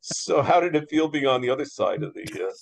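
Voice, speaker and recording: A man's voice: on the high side, expressive, fast, creaky, a little hiss or hum, rough recording. A man moderating a casual interview in an affable, appreciative manner.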